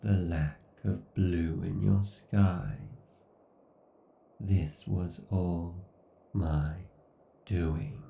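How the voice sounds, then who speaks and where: A man's voice: steady tone, drawn-out words, exaggerated voice. A man playing an obsessed, condescending villain AI god in a horror ASMR roleplay, with a brooding, theatrical, menacing delivery. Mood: gloating menace. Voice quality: gravelly, dark, low. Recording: dull, roomy, slightly distant sound.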